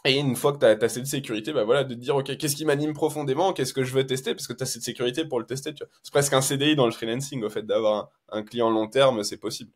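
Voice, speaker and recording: A man who is a guest SEO consultant, talking in a relaxed, hesitant way with many fillers. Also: mid-range voice, quick pace, few pauses. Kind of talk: casual podcast chat.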